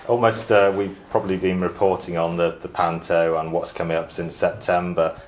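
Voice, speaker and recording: A man's voice: low-pitched, little pitch movement, normal speed, a little hiss or hum. A man conducting a casual on-location interview as the interviewer, asking friendly questions. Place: backstage at a theatre.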